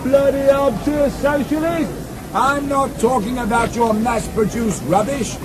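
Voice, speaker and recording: A man's voice: extremely high pitch, a little slow. A man playing a bombastic Victorian socialist preaching about handmade furniture in a comedy radio play. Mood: ranting. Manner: bombastic, speechifying. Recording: radio taped to cassette, loud crowd and noise.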